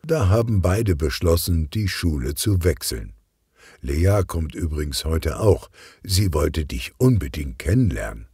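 A man voicing a caring mother, mellow and unhurried. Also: a bass voice, big pitch swings, slightly rough, dry recording, clean studio sound, exaggerated voice. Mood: chatty, caring. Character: friendly, caring mother, chatting at breakfast.

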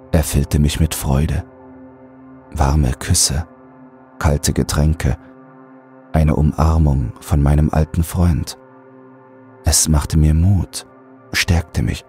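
A man reads a horror story as a dramatic narrator. His voice is extremely deep and his delivery slow, measured and suspenseful. Quiet music plays underneath. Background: soft music.

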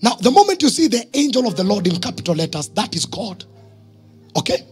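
Casting: Kenyan male pastor, high husky voice, emphatic pulpit delivery with calls to the congregation. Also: very animated, brisk, soft background music. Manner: emphatic, with short phrases and questions to the congregation. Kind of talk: sermon. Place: church stage, indoors. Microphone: handheld microphone.

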